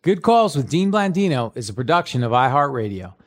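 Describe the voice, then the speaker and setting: A man hosting a casual sports podcast, with a faint Long Island accent and a relaxed way of talking that repeats words. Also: medium pitch, a little fast, soft background music. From Long Island, New York. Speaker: podcast host. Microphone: studio mic.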